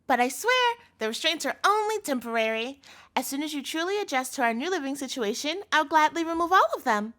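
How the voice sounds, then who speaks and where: Yandere girlfriend, played by a woman, audio roleplay. Cloying, controlling devotion; high-pitched, very animated delivery, pleading and doting. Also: fairly quick, full character voice. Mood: soothing, controlling. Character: sweetly possessive yandere girlfriend, a doting chef captor.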